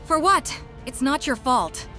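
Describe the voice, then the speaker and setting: A woman's voice: sits high, sing-song, a little slow, sharp tone, soft background music, exaggerated voice. A woman acting a scrappy, cheeky young female lemur in a comic dub, breezy and slangy. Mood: brave, resigned.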